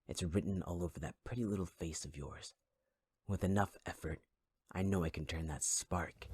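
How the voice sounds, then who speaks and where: A man voicing a scarred, possessive fire-wielding villain in an ASMR roleplay, taunting in a low half-whisper. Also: a little mellow, slightly rough, heavily acted.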